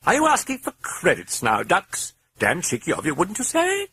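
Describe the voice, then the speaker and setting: A man voicing a hoarse, foul-mouthed possessing spirit with a British accent, in a very high, raving voice. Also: wildly swooping pitch, normal speed, pushed and bright, heavily acted. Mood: mocking cheer. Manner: raving, then mock-cheerful and theatrical.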